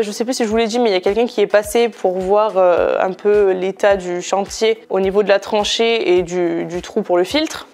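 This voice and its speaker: A woman's voice: mid-range voice, darkish tone. A young woman chatting casually to camera about her house renovation, frank and full of hesitations. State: stuffy nose from a cold. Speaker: home renovation vlogger. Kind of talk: casual vlog chat to camera.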